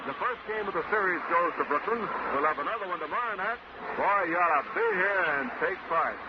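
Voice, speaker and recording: A man in a ballpark booth sums up the game for radio listeners in a chatty, enthusiastic way. His voice is on the high side, and it comes through a muffled, narrow old broadcast under a loud wash of hiss. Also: big pitch swings, fairly quick. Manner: conversational, recapping with enthusiasm. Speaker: radio baseball announcer. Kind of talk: live baseball broadcast wrap-up.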